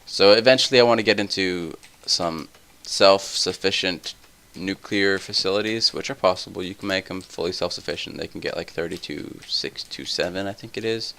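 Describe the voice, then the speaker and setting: A tired young man with a somewhat deep voice, rambling through casual gameplay commentary full of tangents. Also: moderate pace, hardly any pauses, sharp tone. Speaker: let's play host.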